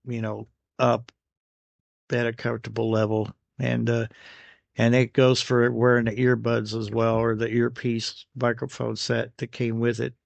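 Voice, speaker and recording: An American man talks through a product review off the cuff. His voice is middling in pitch with a lot of gravelly creak. He pauses often between phrases, and the recording is dry, with little room sound. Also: no room sound. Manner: halting, pausing between phrases. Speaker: radio gear reviewer. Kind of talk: unscripted product review voice-over.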